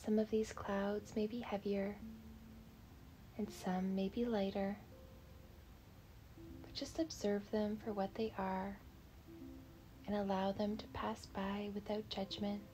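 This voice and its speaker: A woman leading a guided meditation in a soft, breathy, half-whispered voice, gentle, soothing and unhurried. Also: medium pitch, level tone.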